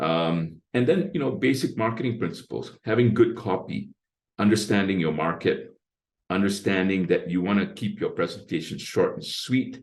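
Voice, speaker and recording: A man in his sixties with a deep bass voice, talking at length as an interview guest and explaining his approach point by point. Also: brisk. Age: 60s. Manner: talkative, explains with lists and asides. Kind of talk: casual interview talk.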